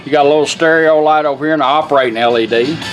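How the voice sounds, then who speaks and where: A man's voice: on the high side, rapid-fire, music in the background. A plainspoken man, casually demonstrating a product he restored. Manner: folksy, plainspoken.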